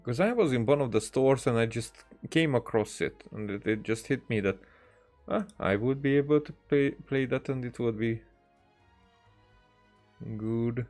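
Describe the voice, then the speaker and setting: A man streaming games, chatting casually in a hesitant, halting way as he thinks aloud. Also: mid-range voice, a little mellow. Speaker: game streamer. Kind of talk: casual livestream chat. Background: music.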